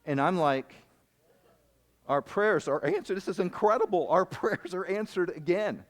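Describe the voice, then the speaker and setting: A man's voice: high-pitched, a little slow. Rambling man telling a mission story. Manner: rambling, animated storytelling with many restarts. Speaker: missionary speaker. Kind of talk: storytelling sermon. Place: camp meeting hall.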